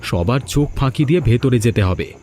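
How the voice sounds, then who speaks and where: A man's voice: mid-range voice, expressive, a little fast, mellow, faint music behind, close to an everyday voice. A man playing a determined, encouraging village friend in a fairy-tale cartoon, with a resolute manner. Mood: urgent, resolute. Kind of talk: dramatic dubbed cartoon dialogue.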